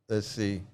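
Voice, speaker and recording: A man ranting sarcastically as a commentator over video clips, often laughing and repeating his jabs. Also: darkish tone. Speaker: YouTube commentator.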